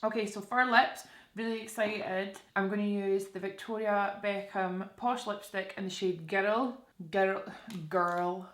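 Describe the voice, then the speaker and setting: A woman's voice: mid-range voice, flat delivery, moderate pace, hardly any pauses. Chatty Scottish woman in an echoey room. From Scotland. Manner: informal, conversational, addresses viewers with questions. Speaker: beauty vlogger presenting a makeup tutorial. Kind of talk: casual chatty makeup tutorial to camera. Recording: roomy, echoey indoor sound.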